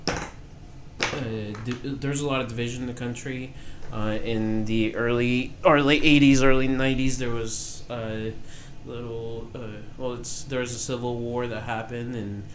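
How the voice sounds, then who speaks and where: A young man from Peru chatting on a podcast, talking with many pauses and fillers. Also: medium pitch, steady tone, slight background noise. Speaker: podcast co-host. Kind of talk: casual chat.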